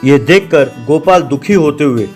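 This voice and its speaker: A man's voice: a little high, expressive, moderate pace, darkish tone, faint music behind. A man reading scripted narration for a children's cartoon story.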